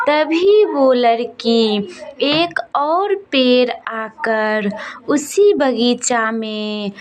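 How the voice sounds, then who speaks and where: Female narrator for children's spooky cartoon tale: fairly high voice, very unhurried, simple repetitive telling. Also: slight background noise. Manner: simple, repetitive oral storytelling. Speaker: storyteller. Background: music.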